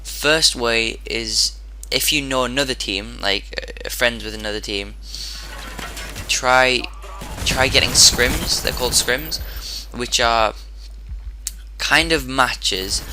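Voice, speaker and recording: A man with a hoarse, sore-throated voice giving casual commentary over his own gameplay, rambling and often restarting his sentences. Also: mid-range voice, slow, bright, forceful tone, slight background noise, rough recording. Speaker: gaming commentator.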